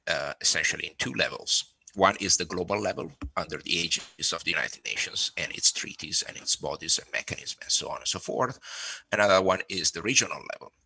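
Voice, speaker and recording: A man, a law professor giving a halting conference talk with many repeated words. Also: deep voice, vocal fry, sharp tone, breathy edge, no echo, low-quality recording. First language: Italian.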